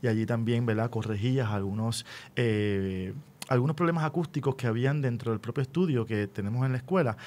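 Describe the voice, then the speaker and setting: A man's voice: mid-range voice, a little fast, darkish tone. A man with a Puerto Rican accent interviewing guests conversationally, prompting them and often seeking agreement with tag questions. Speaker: interviewer, radio school teacher.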